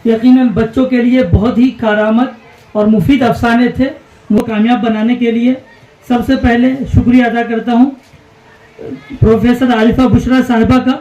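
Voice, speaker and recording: A man's voice: extremely high pitch, flat delivery, moderate pace, dark tone, muffled recording. A man hosting an event, making formal stage announcements. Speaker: event host. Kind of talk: formal stage announcements in Urdu literary gathering. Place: festival hall indoors. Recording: echoey hall over a PA.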